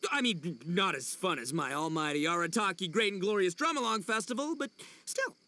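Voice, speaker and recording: A man's voice: high voice, animated, a little fast, sharp tone. A man voicing a brash, boastful but good-hearted young oni in a video game, goofy and slangy. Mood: musing, boastful.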